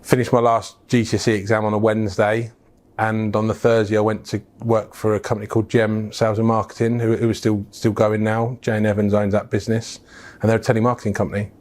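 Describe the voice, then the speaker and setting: A man's voice: fairly low pitch, little pitch movement, fast, darkish tone. A middle-aged English businessman telling his life story in a frank, casual interview, with plenty of fillers and restarts. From England. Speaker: business founder, guest.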